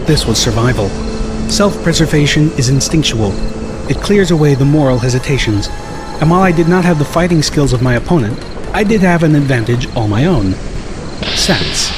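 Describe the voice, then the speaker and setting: Guy reading a story audiobook, medium pitch, first person narration, noticeable noise behind him.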